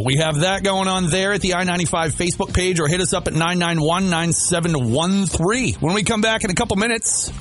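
An American man hosts a morning radio show in a fairly high voice, with music lightly underneath. He banters casually and playfully, in a folksy way. He likes to say a thing again to drive it home. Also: a bit bright. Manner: playful, folksy, repeats himself for emphasis. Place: radio studio.

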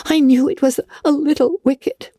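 A woman voicing a tearful, high-pitched orphan girl. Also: fairly even intonation, moderate pace, warm tone, no echo, clean studio sound, lightly acted. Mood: pleading. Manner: high, breathless and tearful, often whispering. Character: dramatic red-haired orphan girl, tearful and imaginative. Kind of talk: audiobook character reading.

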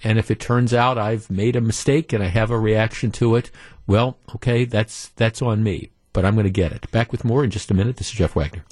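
A man's voice: fairly low pitch, fairly even intonation, a little fast, a little mellow. A man hosting a call-in radio talk show, talking conversationally with frequent restarts and fillers and reading listener emails aloud.

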